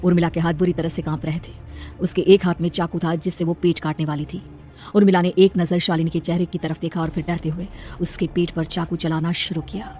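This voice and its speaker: A horror story narrator with a mid-range voice. The delivery is fast, scripted and dramatic, set over faint music. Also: medium pitch, little pitch movement, darkish tone.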